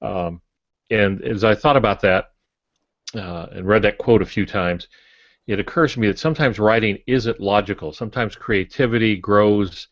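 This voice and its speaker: Older man, low voice, dry muffled sound. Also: no room sound. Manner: measured, explanatory. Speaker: podcast co-host, writer and former preacher. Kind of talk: casual instructive discussion. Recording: muffled lo-fi podcast audio.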